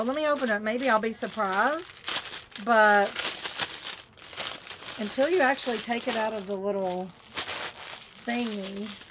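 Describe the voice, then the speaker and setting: A woman's voice: on the high side, noisy background. Chatty woman unboxing a haul. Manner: chatty, exclaims and mutters while fiddling with items. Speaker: vlogger unboxing a shopping haul. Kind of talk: casual chatty haul vlog.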